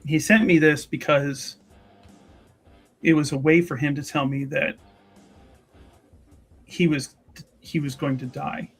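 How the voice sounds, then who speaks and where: A man voicing a composed, courteous but pointed noblewoman in a tabletop game, speaking in a halting, measured, diplomatic way. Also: on the high side, fairly even intonation, gravelly, husky, full character voice. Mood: earnest. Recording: music behind the voice.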